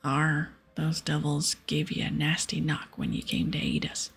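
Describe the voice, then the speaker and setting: Gruff pirate captain, played by a woman: husky half-whisper, heavy creak, theatrical old-salt talk, game dialogue over music. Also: sits low, very animated, moderate pace, pitched below the performer's own voice, full character voice. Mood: gruff, grim. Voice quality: breathy, half-whispered, gravelly. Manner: archaic pirate talk with arrs and ayes. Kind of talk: ASMR video game dialogue read-aloud. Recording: soft music behind.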